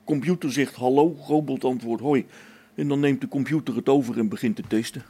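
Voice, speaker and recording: A man's voice: medium pitch, a little fast, dark tone, breathy edge, close to an everyday voice. A man playing a practical, down-to-earth space-station technician in a radio play, brusque and colloquial. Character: practical, matter-of-fact male space-station technician, mildly irritable. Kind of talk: Dutch radio play acting.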